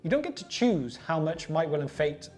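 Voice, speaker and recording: A man's voice: fairly high pitch, big pitch swings, normal speed, a little mellow. A man co-hosting a tabletop wargaming show, chatting casually and sometimes reading out rules. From Wales. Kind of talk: casual chat with some reading out of game rules.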